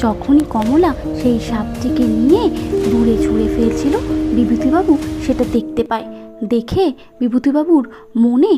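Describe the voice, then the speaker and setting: A woman's voice: on the high side, normal speed, loud music behind the voice. A woman narrating a scripted cartoon folk story as the storyteller.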